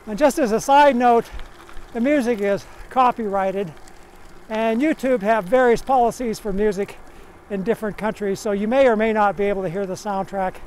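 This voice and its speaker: A man's voice: high-pitched, moderate pace, darkish tone, slight background noise. An older man talking casually to camera while riding a bike on a country road, easygoing and plain-spoken. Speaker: vlogger.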